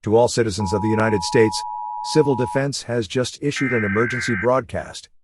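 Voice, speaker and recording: A man reading a scripted emergency broadcast as an announcer, in a formal and measured manner. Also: mid-range voice, very noisy.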